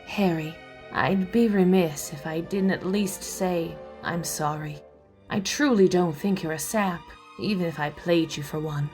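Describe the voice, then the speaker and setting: Low-voiced femme fatale played by a woman. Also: measured pace, a little mellow, faint music behind, close to an everyday voice. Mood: wistful. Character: femme fatale heiress, earnest and world-weary. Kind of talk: 1940s radio drama acting.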